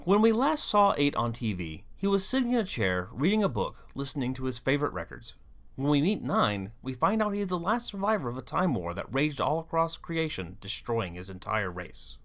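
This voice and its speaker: Animated, emphatic video essay narrator. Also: mid-range voice. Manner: emphatic, theatrical delivery with wry asides. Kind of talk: scripted narration, explanatory fan commentary.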